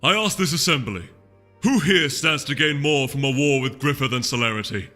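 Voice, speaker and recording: A man's voice: mid-range voice, animated, normal speed, pushed and bright, faint music behind, voice pushed up. A man voicing a haughty, disdainful unicorn duke in a storybook reading, with a pompous, speechifying manner. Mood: indignant. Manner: pompous, oratorical.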